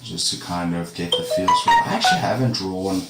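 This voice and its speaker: A man casually talking to his live-stream viewers while he draws. He rambles in a relaxed way and sounds a little congested from a cold. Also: low voice, a little fast, loud music behind the voice. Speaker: art streamer. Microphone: streaming setup with a camera facing him. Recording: echoey room with faint background noise.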